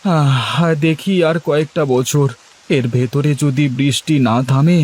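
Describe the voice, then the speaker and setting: Man playing a high-voiced harried cartoon husband. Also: unhurried, a little mellow. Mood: placating. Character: harried, good-natured village husband placating his wife. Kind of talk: Bengali cartoon dialogue.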